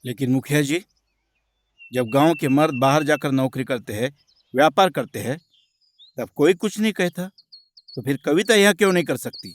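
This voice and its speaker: A man's voice: a little high, normal speed, pauses often, close to an everyday voice. A man voicing a loyal, principled young village husband in a cartoon, earnest and reasoning. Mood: challenging.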